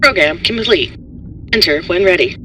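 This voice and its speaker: An impersonal ship's computer, performed by a man for a tabletop sci-fi game. The voice is piercingly bright, with a lilting range and formal, clipped announcements, heard from a distance. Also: sits high, animated, normal speed, heavily acted. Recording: distant, noisy sound.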